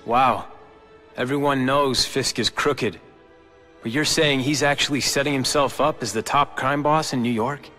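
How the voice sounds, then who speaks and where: A man's voice: mid-range voice, steady tone, measured pace, pushed and bright. A man with an American accent voicing an embittered, brooding young corporate heir in a video game cutscene. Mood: wary. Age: young adult. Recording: long hall-like reverb. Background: background music.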